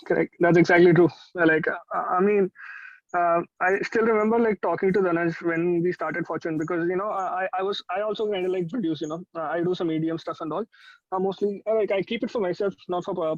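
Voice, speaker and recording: A man's voice: sits high, no room sound. A young man with an Indian accent, talking informally and emphatically as an interview guest. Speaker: music producer, interview guest. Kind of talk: casual chat. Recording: dry, slightly dull lo-fi sound.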